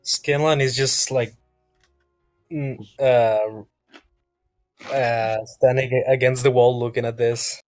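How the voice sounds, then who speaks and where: A man's voice: mid-range voice, steady tone, slow, long pauses, a little mellow, no room sound. A man runs a casual tabletop roleplaying session in a mid-range voice. The sound is dry and close, with music playing underneath.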